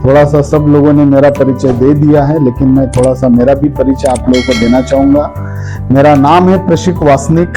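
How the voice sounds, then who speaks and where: A man's voice: medium pitch, steady tone, normal speed, music in the background. A man from Maharashtra gives a motivational seminar speech, repeating phrases for emphasis and putting questions to his listeners. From Maharashtra, India. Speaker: motivational speaker for direct selling.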